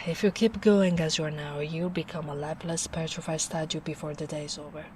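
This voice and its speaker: A young woman playing a gentle, protective female ogre in a soft-spoken ASMR roleplay, speaking slowly and soothingly with quiet breathy asides. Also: low-pitched, steady tone, a little hiss or hum, natural voice.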